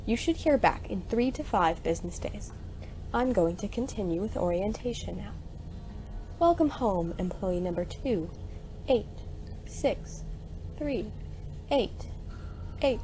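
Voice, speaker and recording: A woman playing a robotic corporate orientation system in an audio drama, monotone and bureaucratic, with stilted procedural politeness. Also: mid-range voice, measured pace, pauses often, a little hiss or hum, close to an everyday voice, poor audio. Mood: flatly polite. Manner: stilted, procedural, scripted announcements.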